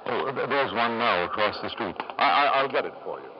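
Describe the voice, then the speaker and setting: A man acting an ambitious, doting father in a 1950s radio drama, expressive and prone to stammering when anxious. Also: on the low side, fairly quick, close to an everyday voice. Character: anxious, ambitious doting father, shopkeeper, also narrating his past. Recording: muffled, noisy 1950s radio broadcast.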